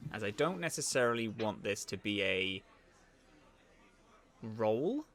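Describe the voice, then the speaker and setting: Man, game master, bright crisp-edged tone, improvised tabletop narration, halting and thoughtful. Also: lowish voice, big pitch swings.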